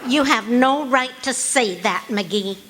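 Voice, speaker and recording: A woman playing a patient, gently needling housewife in an old-time radio comedy, wry and chiding. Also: on the high side, slow. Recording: noisy live stage recording.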